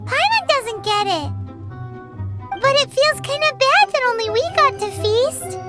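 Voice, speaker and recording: A woman voicing a tiny, childlike fairy companion in a video game, cheeky and squeaky. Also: sing-song, unhurried, exaggerated voice. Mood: puzzled, curious. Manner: speaks of self in third person. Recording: music playing behind the voice.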